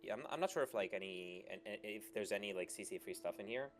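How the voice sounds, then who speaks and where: A man's voice: fairly low pitch, quick pace. A man hosting a live stream, explaining things casually and hesitantly. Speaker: stream host. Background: background music.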